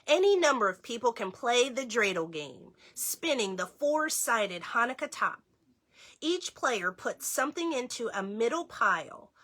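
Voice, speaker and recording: A woman's voice: fairly high pitch, pushed and bright. A woman hosting a story time, reading aloud to children and speaking to her listeners directly. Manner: slow, clearly phrased reading, addresses young listeners directly. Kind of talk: children's read-aloud with casual asides.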